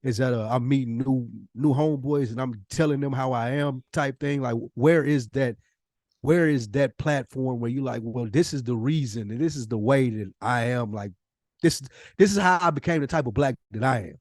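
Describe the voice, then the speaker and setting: A man's voice: mid-range voice, moderate pace, a little mellow, no room sound. Man co-hosting a dry, close podcast. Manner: probing, emphatic, uses slang. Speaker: podcast co-host. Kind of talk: casual podcast conversation.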